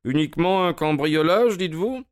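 A man voicing a keen, sharp-witted middle-aged detective in an audiobook, asking crisp, probing questions. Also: medium pitch, animated, measured pace, dry recording, clean studio sound, pitched above the performer's own voice, lightly acted. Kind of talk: audiobook character reading.

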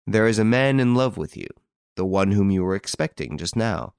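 A man voicing an assured, wry gentleman investigator in an audiobook story, speaking theatrically and without hesitation. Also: lowish voice, lively intonation, moderate pace, gravelly, clean tone, dry recording, clean studio sound. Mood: firm, calm.

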